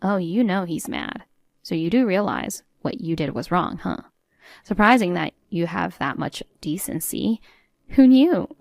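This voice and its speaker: Woman acting a cool, creaky-voiced sarcastic daughter-in-law. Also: on the low side, animated, a little slow, a little mellow, dry recording, studio quality. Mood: smug. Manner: sardonic, composed, matter-of-fact.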